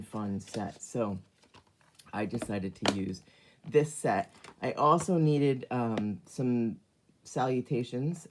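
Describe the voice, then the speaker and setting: Hoarse, very low-voiced woman craft host. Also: a little mellow, slight background noise. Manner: chatty, many ums, greets viewers by name. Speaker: card-making demonstrator hosting a live stream. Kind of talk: casual live craft demonstration.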